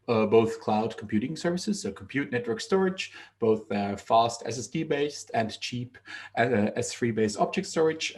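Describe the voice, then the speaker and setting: A man's voice: fairly low pitch, a little mellow. A middle-aged man, presenting his company's services in a webinar over a video call, with many ums and uhs and restarts. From Finland. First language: Finnish. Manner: frequent um and uh fillers, restarts mid-phrase. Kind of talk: product presentation.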